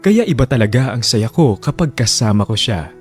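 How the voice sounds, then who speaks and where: A man narrating a radio drama in a measured, reflective way. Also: medium pitch, very animated, soft background music.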